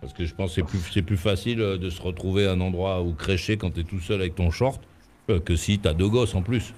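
Deep-voiced man hosting late-night radio. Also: steady tone, brisk, a little mellow, slight background noise. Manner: slangy, easygoing. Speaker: radio host. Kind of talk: casual radio chat. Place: radio studio.

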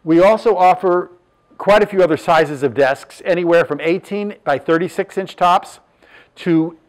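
A man in his sixties from Southern California, a furniture business owner walking through his showroom and plainly listing sizes and features. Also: fairly high pitch. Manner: plain, matter-of-fact, lists measurements with fillers. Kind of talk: product presentation.

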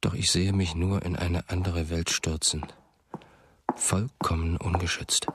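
An actor playing a brooding man who narrates a German radio drama in a husky, measured voice. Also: low-pitched, level tone, noisy background. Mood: brooding, wistful. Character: brooding male narrator, old friend of a missing writer.

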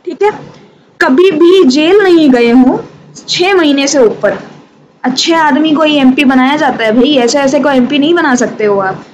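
A woman's voice: sits high, measured pace, a little mellow, poor audio. A woman with an Indian accent giving an informal revision lesson, speaking conversationally and addressing her students directly. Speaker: teacher of company law exam revision. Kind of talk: informal lesson mixing Hindi and English. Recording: clearly audible background noise.

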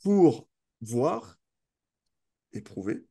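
A man's voice: mid-range voice, big pitch swings, very slow, vocal fry, warm tone, husky. A man hosting a casual interview, often restarting and repeating words mid-sentence. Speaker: host.

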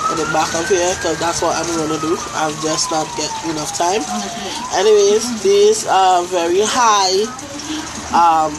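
A young woman from Trinidad with a Trinidadian accent, reviewing makeup brushes into a close phone camera in a small room, talking in an unhurried way with many fillers. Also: lowish voice, noisy background. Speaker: beauty vlogger. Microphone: phone camera held close.

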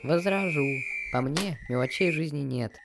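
A man's voice: a little high, normal speed, vocal fry, over loud music, voice pushed up. A man voicing Zhenya, a young girl in an amateur cartoon who speaks up firmly and objects readily.

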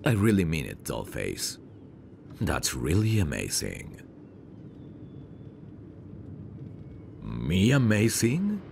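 Man playing very deep, gravelly suave mafia heir. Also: huge pitch swings, slow, a little mellow, slight background noise, full character voice. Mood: warm, admiring. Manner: smooth-talking, courtly, flirtatious. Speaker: voice actor. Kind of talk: ASMR roleplay, intimate one-sided dialogue.

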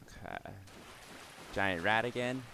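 A young man with a slightly raspy, hoarse voice giving casual let's-play commentary, swearing and mocking himself as he plays. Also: on the low side, takes it slowly, pushed and bright, slight background noise. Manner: swears and exclaims at the game, self-mocking. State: hoarse from yelling. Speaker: gaming channel host.

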